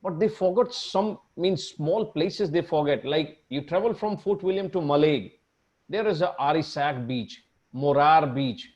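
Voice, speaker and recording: A man's voice: on the high side, measured pace, darkish tone, dull audio. An Indian man with an Indian English accent, hosting a casual interview. He is effusive, laughs often and keeps repeating his thanks. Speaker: host. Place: Scotland.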